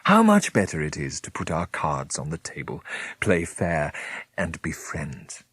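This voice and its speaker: A man reading aloud, voicing a genial, scheming middle-aged man who talks in a smooth, friendly, confiding way. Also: a bass voice, wildly swooping pitch, unhurried, a bit bright, no room sound, clean studio sound, voice pushed down, heavily acted.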